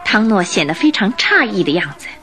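A woman narrating a scripted radio drama in an expressive, dramatic storytelling manner. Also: medium pitch, a little fast, faint music behind.

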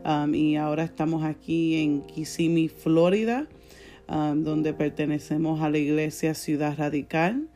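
A woman's voice: deep voice, fairly even intonation, unhurried. A young woman with a Puerto Rican accent, chatting casually and cheerfully as a faith podcast co-host. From born in Puerto Rico, raised in the United States. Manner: cheerful, given to laughing. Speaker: podcast co-host, church worship leader. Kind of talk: casual testimonial chat. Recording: soft guitar music behind voice. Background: soft guitar music.

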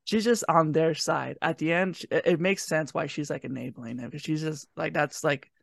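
Higher-pitched young man with creaky voice. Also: moderate pace, fairly bright tone, no echo. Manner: giggly, laughs through his talk. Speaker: podcast co-host. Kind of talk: casual review chat.

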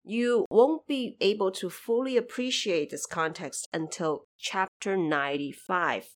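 A woman hosting a podcast, giving explanatory, lightly scripted commentary in a measured, didactic way with emphatic phrasing. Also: medium pitch. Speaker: podcast host explaining background of an audio drama series.

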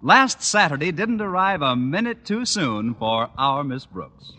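A man's voice: fairly high pitch, very animated, measured pace, sharp tone. A man reading scripted announcements in the style of a 1940s radio announcer. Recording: old radio recording with faint noise.

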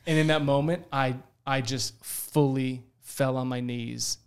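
Guest, male, 20s, earnest storyteller, smooth with some fry. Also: mid-range voice, fairly even intonation, measured pace. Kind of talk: casual testimony on a podcast.

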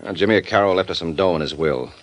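An actor playing a wisecracking private detective in a 1940s radio drama, sardonic and quick with a comeback. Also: male voice, deep voice, close to an everyday voice. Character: wry tough male private detective.

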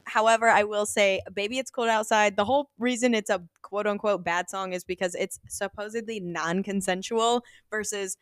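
A woman's voice: mid-range voice, steady tone, moderate pace, hardly any pauses, smooth, no echo, studio quality. A young adult woman co-hosting a casual podcast chat, laughing often as she talks. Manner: giggly, chatty, laughs often. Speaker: podcast co-host.

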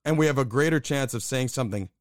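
A man's voice: mid-range voice, fast, no room sound, studio quality. A young man from the Buffalo, New York area, co-hosting a casual podcast and telling stories candidly, swearing now and then. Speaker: podcast co-host.

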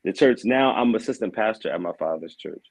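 A man in his thirties talks through his music life in a relaxed way, often saying "man" and laughing. His voice sits very low. He sounds like a guest on a casual podcast. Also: brisk. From Aberdeen, Maryland. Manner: easygoing, laughs often, says "man" a lot. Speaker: guest, vocal coach and assistant pastor.

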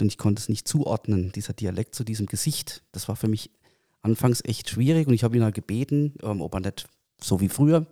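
A man from Kirrlach in Germany hosting a casual podcast interview, asking questions in a relaxed, conversational way. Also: lowish voice, no echo, clean studio sound. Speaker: podcast host.

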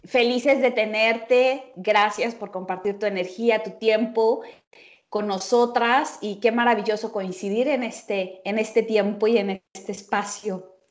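A woman's voice: mid-range voice, steady tone, unhurried. A woman co-hosting a podcast, chatting in an upbeat, welcoming way. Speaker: podcast co-host. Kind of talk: casual podcast chat.

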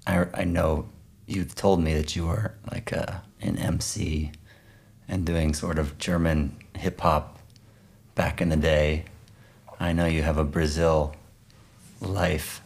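A man hosting an interview in a casual, thoughtful way, tentative and pausing mid-phrase as he builds his questions. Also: very deep voice, flat delivery, creaky, darkish tone. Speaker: podcast interviewer.

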